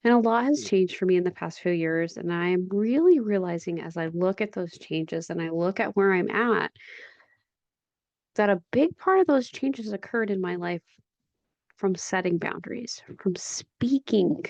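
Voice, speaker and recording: A woman's voice: lowish voice, expressive, measured pace, darkish tone, dull audio. Reflective woman in her forties hosting a podcast. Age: 40s. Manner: candid, reflective, conversational. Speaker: podcast host. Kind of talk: solo podcast monologue. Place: at home.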